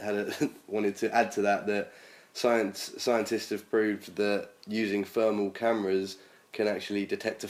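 A man with a husky voice from a sore throat, hosting a casual chat and reading out listener questions with a joking tone. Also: on the low side. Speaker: podcast host.

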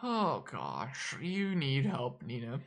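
A woman's voice: rumbling low, huge pitch swings, slow pace, creaky. A woman hosting a live stream, casually interviewing her guest and teasing her for answers. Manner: teasing, pushes for answers, talks to chat.

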